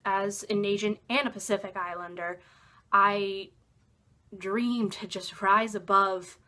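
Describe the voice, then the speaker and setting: Bright-voiced woman speaking earnestly. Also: medium pitch, fairly even intonation, slow. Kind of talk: earnest declaration.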